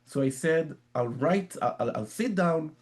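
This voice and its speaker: A man's voice: fairly high pitch, expressive, a little slow. A man with an Israeli accent, answering interview questions as an author, often repeating words as he searches for phrasing. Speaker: author guest. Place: in Jerusalem, remote line.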